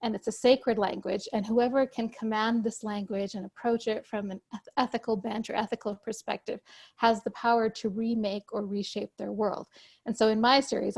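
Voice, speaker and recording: A woman whose first language is Urdu, a guest author explaining her ideas in a measured, conversational way over a video call. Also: mid-range voice, vocal fry, a little mellow. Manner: measured, explanatory, occasional restarts.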